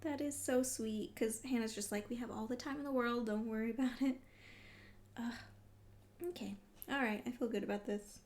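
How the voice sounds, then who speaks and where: Gushing, higher-voiced woman reacting to manga. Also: steady tone, moderate pace, a little mellow. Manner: gushing, exclamatory, emotionally invested. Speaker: manga read-along vlogger. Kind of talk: casual reaction commentary while reading.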